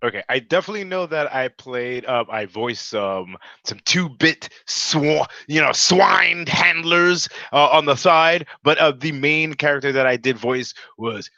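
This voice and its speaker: A man with a bright, punchy voice chats as a guest voice actor. He is playful and jokey in casual storytelling. The dry call audio is a little lo-fi and dull. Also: a little high, a little slow, hardly any pauses, no echo. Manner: playful, joking, animated storyteller. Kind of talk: casual chat. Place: remote video call.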